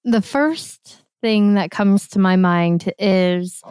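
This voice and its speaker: Woman, slightly hoarse, smooth clear voice, earnest podcast co-host, dry mic. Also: medium pitch, slow, a little mellow, dry recording. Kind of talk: casual podcast chat.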